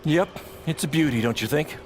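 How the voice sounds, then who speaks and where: An actor playing an excitable, fast-talking wrestling promoter with a salesman's manner in a video game cutscene. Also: a little high, lightly acted. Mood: proud, upbeat. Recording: clear background noise.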